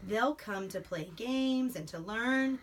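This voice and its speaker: A woman's voice: fairly high pitch, expressive, slow. Woman as a kindly storybook janitor, slightly distant. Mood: promising. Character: kindly, reassuring male school janitor. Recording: noisy, distant home microphone.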